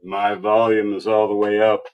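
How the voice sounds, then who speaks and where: A man's voice: on the low side, little pitch movement, normal speed, smooth, highs cut off. A man in his sixties hosting a livestream, chatting casually with short, laid-back replies and some casual swearing. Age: 60s. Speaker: livestream host. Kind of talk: casual chat.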